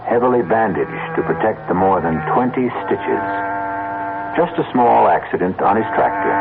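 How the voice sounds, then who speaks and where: Casting: male suspense radio host, deep, deliberate, dramatic, narrow vintage broadcast sound. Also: very noisy. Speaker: host and narrator. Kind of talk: scripted suspense radio narration. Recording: tinny vintage radio, music behind.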